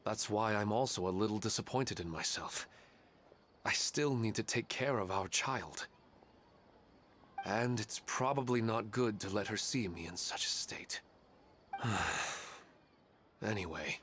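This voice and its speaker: A man's voice: lowish voice, measured pace, long pauses, fairly bright tone, slight background noise. A man playing a grieving widowed father in a video game, polite, reflective and hesitant. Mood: downcast.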